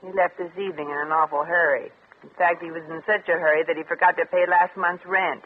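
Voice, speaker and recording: An actress playing a brash, wisecracking, streetwise fortune teller in 1950s radio comedy, with sardonic, slangy delivery. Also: female voice, fairly low pitch, fairly even intonation, fast, natural voice. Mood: dry, skeptical. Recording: tinny, hissy early-1950s radio broadcast.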